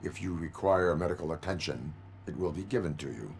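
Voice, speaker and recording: A man playing a stoic cyborg policeman in a video game, speaking in a formal, clipped, deadpan way. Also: low-pitched, fairly quick, heavy background noise. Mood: stern. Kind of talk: video game cutscene acting.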